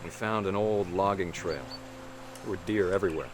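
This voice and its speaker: A man playing a low-voiced, earnest American dad. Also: moderate pace, close to an everyday voice. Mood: serious, reminiscing. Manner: earnest, instructive, encouraging. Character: intense, serious, outdoorsy middle-aged American father. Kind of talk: naturalistic video game voice acting. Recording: loud music underneath. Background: loud music.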